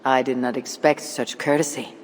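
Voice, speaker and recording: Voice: medium pitch, steady tone, moderate pace, slight background noise, natural voice. An actor as a composed Viking warrior in a video game. The delivery is formal and blunt, in a reverberant space with faint ambience. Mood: firm. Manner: formal, direct. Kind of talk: dramatic video game acting.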